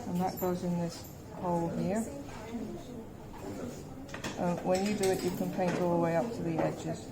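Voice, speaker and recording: A woman's voice: lowish voice, flat delivery, normal speed. A woman teaching a hands-on art class, thinking aloud step by step and putting questions to her learners. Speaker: art teacher demonstrating colour mixing. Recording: loud chatter of people behind.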